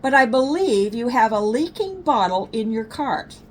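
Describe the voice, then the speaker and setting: A woman's voice: fairly high pitch, big pitch swings, normal speed, slight background noise. A woman voicing a grumbling, self-important father bear in a children's storybook, with emphatic delivery.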